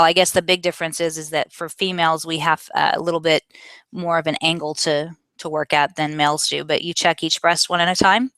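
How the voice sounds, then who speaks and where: Low-pitched, clear-voiced woman answering questions. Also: bright, forceful tone, no echo. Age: 40s. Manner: candid, explanatory, self-correcting. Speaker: vlogger answering viewer questions. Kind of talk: casual question-and-answer talk.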